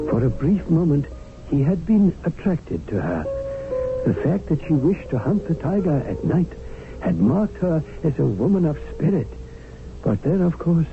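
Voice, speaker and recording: Dignified scornful native guide, lightly raised pitch, measured archaic phrasing, radio play, narrow old broadcast sound. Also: male voice. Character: dignified Indian hunting guide, formal, privately scornful. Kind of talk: 1970s radio drama narration and acting. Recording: narrow-band old radio, music behind.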